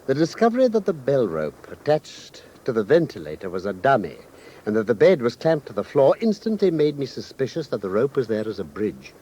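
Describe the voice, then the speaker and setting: An actor playing a shrewd, commanding gentleman detective in a British radio drama, speaking in a precise, articulate, authoritative manner with a British accent. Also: mid-range voice, wildly swooping pitch, fairly quick, husky, highs cut off. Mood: calm, explanatory. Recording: noisy old radio drama recording.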